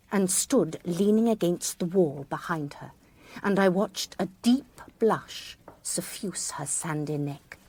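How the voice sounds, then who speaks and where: An actress playing a sharp-eyed, wry former schoolmistress, telling a mystery in a precise, measured way for radio drama. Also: female voice, on the low side, husky, heavily acted. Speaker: narrator and lead character. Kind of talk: first-person dramatised narration, radio drama.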